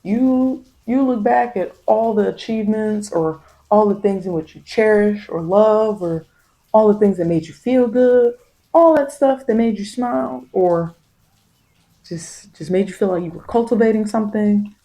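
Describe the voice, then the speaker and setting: Mellow-voiced woman giving spiritual tarot guidance. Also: mid-range voice, a little slow, poor audio. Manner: repetitive, emphatic phrasing addressed directly to the listener; informal vernacular speech. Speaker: tarot reader, spiritual content host. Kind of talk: spiritual tarot guidance, ASMR-leaning monologue.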